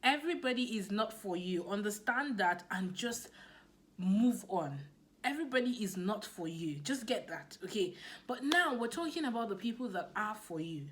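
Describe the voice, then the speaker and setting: A woman's voice: medium pitch, animated, normal speed, a bit bright, a little hiss or hum. A woman with a Nigerian accent giving a motivational business talk to camera, emphatic and repeating her key points. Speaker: business coach.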